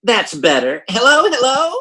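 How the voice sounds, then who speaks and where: A man's voice: extremely high pitch, wildly swooping pitch, unhurried, sharp tone, breathy edge, heavily acted. A man playing a folksy, self-important American husband in old-time radio comedy style, stammering and blustering. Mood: impatient.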